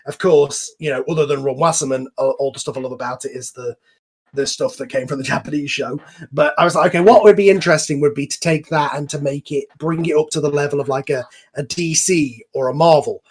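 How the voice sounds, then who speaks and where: A man's voice: fairly high pitch, fairly even intonation, brisk, breathy edge. A man hosting a casual online group chat, explaining things at length in an enthusiastic, informal, rambling way. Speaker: host and series creator. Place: online voice call.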